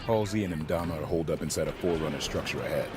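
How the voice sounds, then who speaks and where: Deep, terse squad leader. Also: male voice, rapid-fire, very noisy. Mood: calm, terse. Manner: terse, commanding. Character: stoic male armored squad leader, calm and commanding. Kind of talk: scripted game cutscene dialogue.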